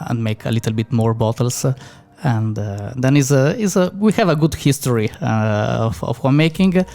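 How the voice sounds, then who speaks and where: A man's voice: medium pitch, warm tone. A man in his thirties from the Slovenian-Italian border, a Slovenian speaker answering in hesitant English with many fillers and easy laughter. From Slovenian-Italian border area. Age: 30s. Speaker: winemaker guest. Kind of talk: casual interview answers.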